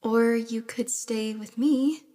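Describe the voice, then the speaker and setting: A woman playing a caring, awkward young friend in an intimate audio drama, with a soft, earnest, stammering manner. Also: a little high, steady tone, slow. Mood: awkward, flustered.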